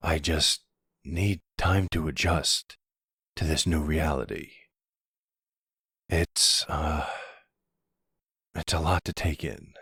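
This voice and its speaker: A man playing a protective, charming mafia boss boyfriend in an audio roleplay, with a low, husky voice and measured, reassuring manner. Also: fairly bright tone, no echo, clean studio sound, full character voice. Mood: tender, uncertain. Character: charming, steady young mob boss, protective devoted boyfriend. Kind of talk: ASMR dramatic audio roleplay.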